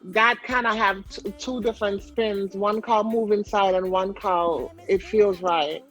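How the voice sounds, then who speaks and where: A woman's voice: medium pitch, fairly even intonation, unhurried, faint music behind, rough recording. A young adult woman with a light Jamaican accent, telling her story as an interview guest in a chatty, rambling way full of fillers.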